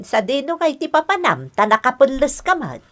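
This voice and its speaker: A demanding grandmother who nags and orders her family about in a radio comedy drama. Her voice is sharp and forceful, swinging widely as she drags out her scolding words. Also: sits high, huge pitch swings, heavily acted. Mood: nosy. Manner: nagging, bossy, drawn-out delivery. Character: demanding, scolding older mother-in-law and grandmother.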